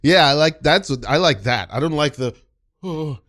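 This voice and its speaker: A man's voice: fairly high pitch, expressive, moderate pace, fairly bright tone, no echo, clean studio sound. An American man hosting a casual podcast conversation, giving his opinions emphatically. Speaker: podcast host.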